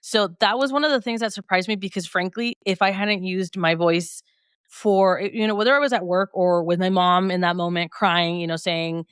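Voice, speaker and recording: Upbeat woman guest, smooth dry voice. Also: fairly low pitch, steady tone, normal speed, dry recording. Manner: reflective and upbeat, laughs often mid-sentence. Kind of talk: conversational interview.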